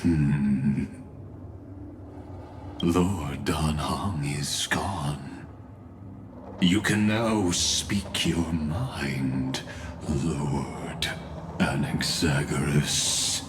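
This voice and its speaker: An actor playing a grave, calculating retainer in a video game, with a measured, courtly manner. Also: male voice, very deep voice, fairly even intonation, background noise, full character voice. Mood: measured, dry. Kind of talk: dramatic video game voice acting.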